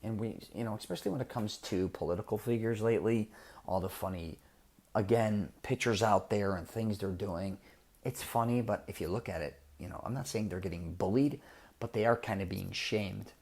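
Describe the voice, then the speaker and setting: Blunt man, low voice. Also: normal speed, vocal fry, darkish tone, slightly rough. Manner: blunt, direct, coaching tone. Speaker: podcast host. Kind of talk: casual monologue.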